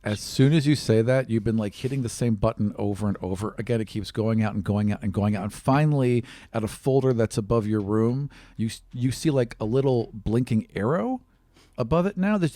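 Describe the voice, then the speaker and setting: A man's voice: mid-range voice, fairly quick, warm tone, dry recording. A man narrating a tabletop roleplaying game as game master, improvising as he describes scenes to the players. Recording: music behind the voice.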